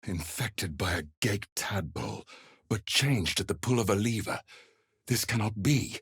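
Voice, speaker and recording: An actor playing a stern, impatient warrior in a fantasy game, with a husky voice and a curt, commanding manner. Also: male voice, on the low side, expressive, measured pace, no echo, clean studio sound, full character voice. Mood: grim.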